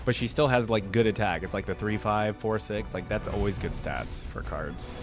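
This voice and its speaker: A man's voice: fairly low pitch, normal speed, music in the background. A man hosting a casual reaction video, informal and thinking aloud as he comments.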